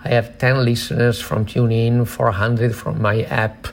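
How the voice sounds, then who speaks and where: A man hosting an interview, asking questions in a conversational way with a halting, think-aloud delivery. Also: mid-range voice, flat delivery, vocal fry. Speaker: interviewer and host.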